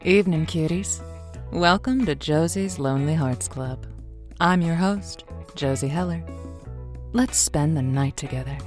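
An actress playing a wry late-night advice show host in an audio drama, sardonic and smooth with a poetic streak. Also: female voice, lowish voice, a little mellow, natural voice. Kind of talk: semi-improvised audio drama, radio monologue.

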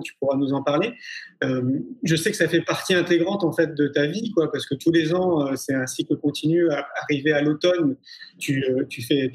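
A Parisian man hosts a relaxed interview and rambles with fillers. His voice is husky and a little raised in pitch. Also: rough recording. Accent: Parisian French. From Paris, France. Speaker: host. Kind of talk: casual interview.

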